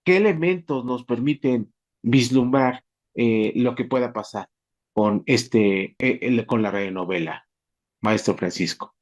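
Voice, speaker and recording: A man hosting a panel, putting questions in a halting, searching way. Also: mid-range voice. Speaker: host, moderator. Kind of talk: panel interviewing.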